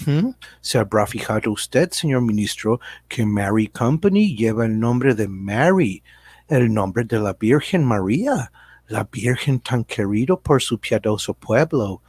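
A man's voice: mid-range voice, a little mellow, breathy edge, no echo. A man playing a slick, evasive American businessman in a satirical radio drama, speaking Spanish with an American accent, hesitant and dropping in English words. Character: slick, evasive American businessman selling his multinational company.

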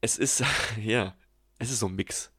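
A man's voice: on the low side, measured pace, fairly bright tone, breathy edge. A man chatting casually and with strong opinions about a film on a podcast. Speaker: podcast co-host. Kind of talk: casual film review chat.

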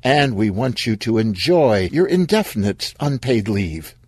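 A man's voice: fairly low pitch, sing-song, unhurried, husky, dry recording, studio quality, voice pushed up, heavily acted. Man doing a chirpy patronizing HR woman. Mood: bright, patronizing. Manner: bright, condescending, singsong. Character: chirpy, smugly corporate female HR officer.

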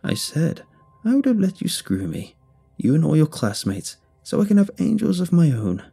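A man voicing a deranged, lecherous old woman in a horror story, with a soft, crackling, creepily sweet voice. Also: fairly high pitch, sing-song, moderate pace, creaky, breathy edge, faint music behind, pitched above the performer's own voice, heavily acted. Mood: lewd, unhinged.

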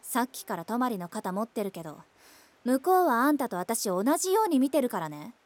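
An actress voicing a blunt, irritable teenage schoolgirl in a voice drama, speaking in a sharp-tongued way. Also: fairly high pitch, very animated, brisk, no echo. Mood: irritated. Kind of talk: amateur voice drama.

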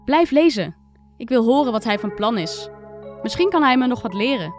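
A woman's voice: on the high side, big pitch swings, moderate pace. Boy ship captain voiced by a woman, young, eager, encouraging storybook friend, light music bed. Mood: curious. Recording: music under the voice.

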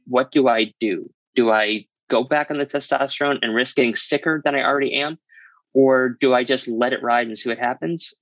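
Interview guest, mid pitched voice, explaining personal stuff real matter of fact, dry recording. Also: fairly bright tone, no room sound, low-quality recording. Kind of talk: candid personal storytelling in an interview.

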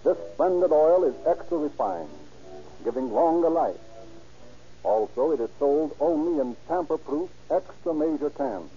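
A man's voice: on the high side, expressive, measured pace, dark tone, faint music behind. A man announcing and reading ads for a 1930s radio show in a persuasive style, on a lo-fi recording. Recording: dull lo-fi old radio recording.

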